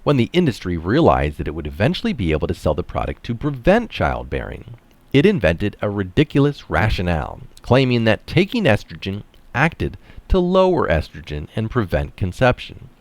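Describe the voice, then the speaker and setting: A man's voice: fairly low pitch, wildly swooping pitch, moderate pace, no room sound. A man reading an article aloud, stressing phrases and adding casual asides of his own. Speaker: article reader and commentator.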